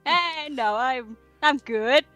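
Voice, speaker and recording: A woman's voice: sits high, takes it slowly, sharp tone, no room sound. A woman chatting casually as a player in a tabletop game, in a playful, giggly manner. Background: music.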